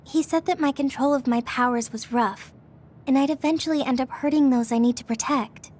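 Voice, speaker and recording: A woman's voice: high-pitched, a little fast, darkish tone, slight background noise, natural voice. A woman voicing an earnest, self-doubting teenage girl soldier in an anime-styled video game, hesitant and sincere. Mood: downcast.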